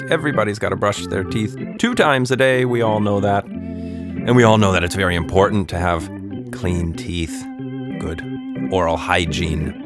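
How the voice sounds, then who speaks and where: A man hosting a children's podcast, telling stories and chatting playfully to young listeners. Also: fairly low pitch. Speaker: children's podcast host and storyteller.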